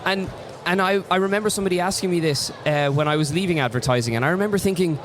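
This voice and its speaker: Man telling stories, slightly high voice. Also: racing, a little hiss or hum. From Ireland. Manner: reflective storyteller, many restarts. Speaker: guest comic artist. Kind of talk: casual interview chat.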